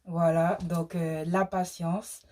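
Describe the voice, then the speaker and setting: A woman's voice: lowish voice, flat delivery, slow, mellow. A young woman from the French Caribbean co-hosting a casual question-and-answer video. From French Caribbean (Martinique). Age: young adult. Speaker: small cosmetics brand founder and video co-host.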